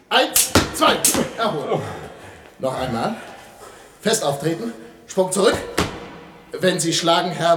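Blustering fencing master, radio comedy: commanding, hot-headed, lively pitch swings, echoey room with some hiss. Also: male voice. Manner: barking clipped commands, pompous. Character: boastful, hot-tempered male fencing master drilling a pupil. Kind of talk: 1960 German radio comedy acting. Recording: roomy, noisy old radio recording.

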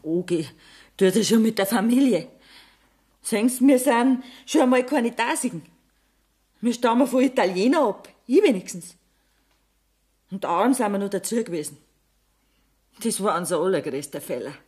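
A woman's voice: mid-range voice, expressive, moderate pace, long pauses, darkish tone, close to an everyday voice. An actress playing an embittered, poor middle-aged Bavarian mother who accuses bluntly in a Bavarian accent, in a radio play. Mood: resigned, bitter. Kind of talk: 1970s German radio play acting.

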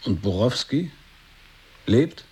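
A man's voice: mid-range voice, sing-song, takes it slowly, warm tone, husky, exaggerated voice. An actor playing an incredulous man in an avant-garde radio play, repeating names and words back as short questions. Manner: echoing questions in short bursts.

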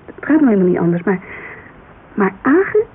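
A woman's voice: mid-range voice, sing-song, a little fast, breathy edge. An actress playing a guarded, candid agency owner in her thirties in a naturalistic Dutch radio drama, speaking colloquially and searching for words. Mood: earnest. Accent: Dutch, Netherlands. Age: 30s. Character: thirty-three-year-old Amsterdam model agency owner, guarded, wistful, candid. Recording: narrow, hissy old radio recording.

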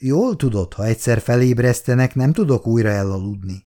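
A man's voice: expressive, warm tone, natural voice. A man voicing a curt, grumpy old husband woken up at night. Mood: grumbling. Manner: curt, grumbling, low-key.

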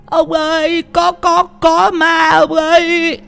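A man's voice: very high-pitched, very animated, a little slow, vocal fry, faint music behind, pitched above the performer's own voice, heavily acted. A man voicing a frightened village woman of sixty, wailing, stammering and pleading in a ghost story. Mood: terrified.

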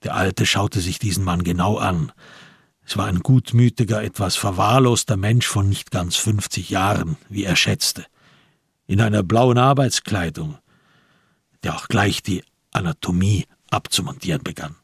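Husky, low-voiced male radio drama narrator. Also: normal speed, no room sound, clean studio sound.

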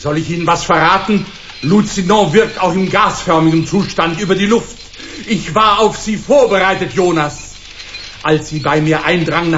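An actor playing a megalomaniac guru who believes he is God, pompous and grandiose with theatrical pauses, in a German radio drama. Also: high voice, a bit bright, muffled recording, slight background noise. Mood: smug menace.

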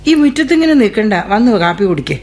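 A woman's voice: mid-range voice, very animated, darkish tone, breathy edge. A fretful, doting mother. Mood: fussing. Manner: fussing, hurried. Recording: radio drama with faint music.

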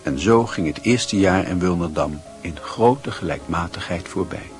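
A man narrating a radio play in a measured, literary storytelling manner. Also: deep voice, soft background music.

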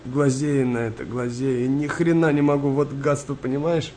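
An actor playing an anguished, haunted young wartime soldier in a radio play, speaking in halting, repetitive phrases. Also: male voice, medium pitch, level tone, darkish tone, natural voice. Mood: haunted, subdued. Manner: halting, repetitive, emotional delivery. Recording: noisy wartime ambience behind voice.

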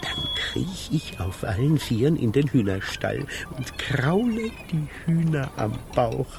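An actor playing a greedy, self-satisfied tramp who steals chickens, savouring words theatrically in a children's radio play. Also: male voice, medium pitch, expressive, a little slow, vocal fry, background noise. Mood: sly, boastful. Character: gloating, greedy male chicken thief and tramp.

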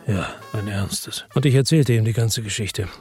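An actor playing a weary, determined man who narrates his crime story in a measured, understated, rough-edged way for German radio drama. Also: fairly low pitch, a little mellow, faint music behind, lightly acted. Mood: grave, measured. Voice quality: husky, rough.

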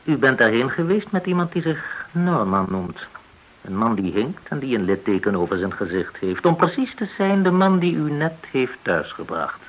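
An actor in a Dutch radio play playing a menacing gangster interrogator, controlled and commanding, full of veiled threats. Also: male voice, mid-range voice, very animated, normal speed, slightly rough, rough recording. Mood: probing.

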